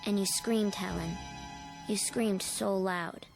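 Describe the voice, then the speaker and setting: A woman playing an eerie, knowing little girl in a radio drama, speaking in deliberate, probing short phrases. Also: medium pitch, fairly even intonation, vocal fry, music in the background. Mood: haunting, insistent. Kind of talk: old-time radio drama acting.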